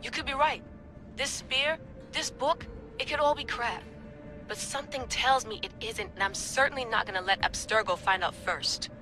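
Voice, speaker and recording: A woman's voice: a little high, normal speed, sharp tone, soft background music. A woman playing a self-assured modern artifact hunter and team boss, earnest and firm, in a naturalistic game cutscene. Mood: resolute.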